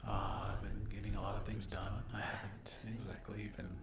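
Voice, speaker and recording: A man with a lowish voice gives a soft-spoken ASMR update to his viewers. He is hesitant and often drops into a whisper. The room echoes and there is heavy noise over the recording. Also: completely flat delivery, normal speed. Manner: hushed, often slipping into whisper. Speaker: ASMR creator addressing viewers.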